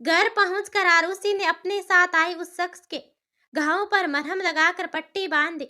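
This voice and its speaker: A woman's voice: extremely high pitch, fairly even intonation, a little slow, pushed and bright. A woman reading a scripted dramatic story as its narrator.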